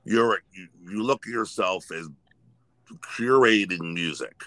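Deep, crisp-edged male podcast host. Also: a little slow, sharp tone, no echo. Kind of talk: casual conversational interview.

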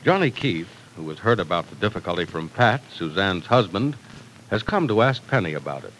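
Old-timey radio announcer guy, upbeat sales pitch, voice jumps all over. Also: very animated, a little fast, a bit bright, slight background noise, poor audio.

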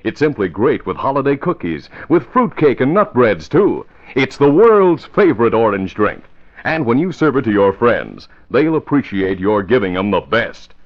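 A man announcing and narrating in 1940s radio style, with emphatic, dramatic delivery. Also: mid-range voice. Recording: dull vintage radio recording, faint music.

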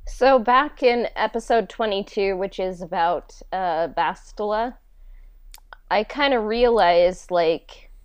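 A woman talking casually and haltingly in a podcast interview, as the show's creator answering questions. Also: medium pitch, clean tone, no room sound, muffled recording. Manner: halting, conversational, frequent "like".

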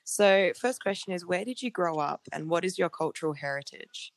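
A woman's voice: lowish voice, animated, normal speed, dry recording. A woman fashion model co-hosting a podcast, reading the intro and asking interview questions in an upbeat, casual way.

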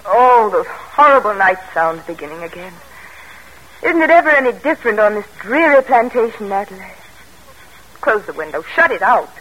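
A woman's voice: medium pitch, sing-song, moderate pace, a bit bright, breathy edge. An actress playing a spirited, devoted young woman in an old-time American radio drama, speaking earnestly and theatrically. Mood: weary, homesick. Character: spirited, devoted young daughter of an ambitious colonel. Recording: vintage radio broadcast, thin telephone-like tone.

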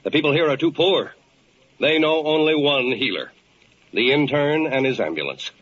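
An actor playing a flustered, weary, compassionate hospital chief in old-time radio drama, with a halting, emotional delivery. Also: male voice, fairly high pitch, animated, fairly bright tone. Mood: earnest, troubled. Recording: dull, hissy old radio recording.

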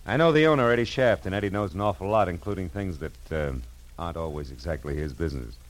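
An actor as a deep-voiced wry private eye. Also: male voice, quick pace, close to an everyday voice. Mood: brisk, businesslike. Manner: wry, wisecracking. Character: wry, hard-boiled male private detective. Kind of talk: 1940s radio detective drama acting, with narration. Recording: old radio drama with musical underscore.

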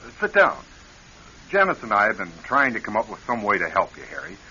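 A flustered, apologetic family man with a bright, edgy voice that jumps around in pitch. He is halting and awkward in radio drama style, on a muffled recording. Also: huge pitch swings, heavily acted. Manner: hesitant, halting. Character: awkward, flustered brother-in-law, well-meaning but evasive. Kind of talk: radio crime drama acting. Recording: dull, hissy old radio recording.

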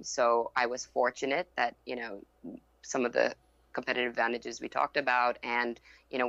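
A young woman who grew up in Thailand, with Thai as her first language, speaking as a podcast guest in a measured way, filling her answers with "you know" and "um". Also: very deep voice, bright, forceful tone, dry recording. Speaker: guest, fund founder. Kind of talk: podcast interview. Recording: dull lo-fi remote audio.

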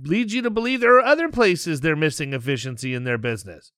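Man, fairly high voice, dry studio-like sound, podcast host, informal, enthusiastic, conversational. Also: lively intonation, quick pace, fairly bright tone, smooth, no room sound. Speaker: podcast host, insurance and risk advisor. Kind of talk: casual interview chat.

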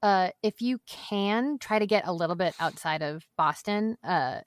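A woman's voice: medium pitch, expressive, a little slow, no echo, clean studio sound. A woman from Massachusetts chatting casually as a podcast guest, hesitant with lots of fillers. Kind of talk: casual chat.

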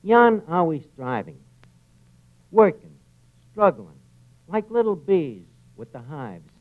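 Philosophizing New barkeep, classic radio comedy. Ungrammatical, mock-grand, very animated, on a phone-thin old broadcast. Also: male voice, on the high side, slow pace. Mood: mock philosophical. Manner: mock-grand pontificating in slangy, ungrammatical speech. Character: uneducated, philosophizing tavern manager, malapropism-prone wiseguy. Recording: tinny, noisy vintage radio broadcast.

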